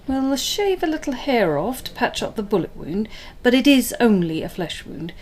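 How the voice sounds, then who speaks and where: Lively, brisk female medic, played by a woman. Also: on the high side. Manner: brisk, no-nonsense. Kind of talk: radio drama acting. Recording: faint background ambience noise.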